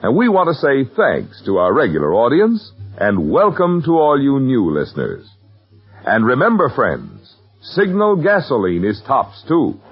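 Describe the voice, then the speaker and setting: An emphatic male announcer in vintage 1940s radio style, selling with hugely varied intonation. His medium-pitched voice is a bit husky, on a muffled old recording. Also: wildly swooping pitch, measured pace, faint music behind. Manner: emphatic, salesmanlike. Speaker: radio announcer and sponsor spokesman.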